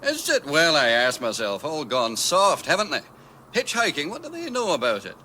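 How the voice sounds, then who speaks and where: A man's voice: fairly high pitch, huge pitch swings, moderate pace, sharp tone, slight background noise, exaggerated voice. A man playing a grumbling, talkative British freighter captain in a radio comedy, rambling and full of rhetorical questions. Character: grumbling chatty working-class spaceship freighter captain. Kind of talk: radio comedy drama acting.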